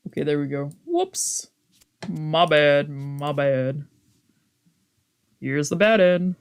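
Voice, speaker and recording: A casual game streamer with a mid-pitched voice. They read story text aloud and break off into loose, sweary commentary and exclaimed reactions. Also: very animated, slow, clean tone. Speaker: streamer doing a let's play.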